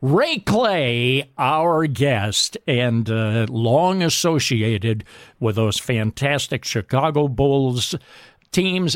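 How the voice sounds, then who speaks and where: A man hosting a sports radio show, asking questions and signing off in a measured, courteous way. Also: mid-range voice, no echo, clean studio sound. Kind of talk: radio interview and sign-off.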